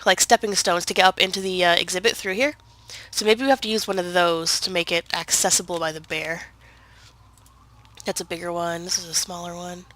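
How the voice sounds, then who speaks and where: A woman's voice: fairly low pitch, bright, forceful tone. A woman with a head cold, sounding congested, chatting casually through gameplay with lots of fillers and self-corrections. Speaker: gaming video creator.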